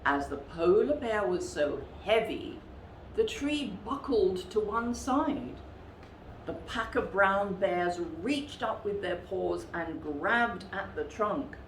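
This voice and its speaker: A woman storyteller narrating a children's picture book in a dramatic, measured, expressive way. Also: medium pitch, roomy sound, slight background noise, poor audio.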